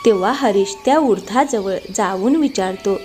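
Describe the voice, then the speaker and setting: A woman's voice: mid-range voice, moderate pace, darkish tone. A woman reading scripted storytelling narration as the narrator of a moral tale. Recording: music behind the voice.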